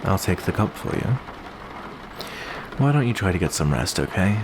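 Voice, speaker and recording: A man playing a genteel male vampire who addresses the listener with endearments in a soft, close ASMR roleplay monologue. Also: low voice. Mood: gentle, courteous. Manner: unhurried, genteel, uses endearments. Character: courteous, smooth male vampire host with hidden predatory menace. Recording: clear steady background noise.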